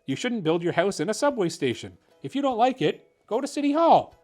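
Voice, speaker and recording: Man voicing a blunt, high-pitched subway conductor. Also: big pitch swings, brisk, darkish tone, faint music behind. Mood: dismissive. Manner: blunt, officious. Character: stubborn, dismissive male subway conductor. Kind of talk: children's storybook character reading.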